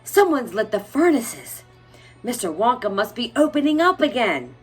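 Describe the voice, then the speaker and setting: A woman voicing astonished townspeople in a storybook, exclaiming excitedly with a raised voice. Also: fairly high pitch, moderate pace, breathy edge, faint music behind, voice pushed up. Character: astonished, gossiping townspeople crying out.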